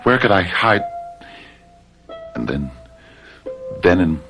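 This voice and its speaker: An actor playing a guilt-ridden, anxious murderer in old-time radio drama style, halting and stammering as he repeats himself. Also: low-pitched, sing-song, fairly bright tone, soft background music, full character voice. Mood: tense, confessional. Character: guilt-ridden anxious man who has committed murder, confessing and faltering.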